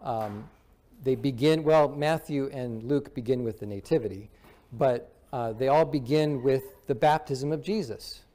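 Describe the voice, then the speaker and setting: A man's voice: mid-range voice, unhurried, dark tone. A man in his forties, a visiting minister preaching a sermon from a church pulpit, explaining earnestly with emphatic lists. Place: church sanctuary, at a wooden pulpit.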